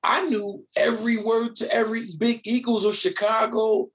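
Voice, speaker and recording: A man hosting a casual podcast, asking questions in a lively, playful way. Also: high voice, normal speed. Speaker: podcast host.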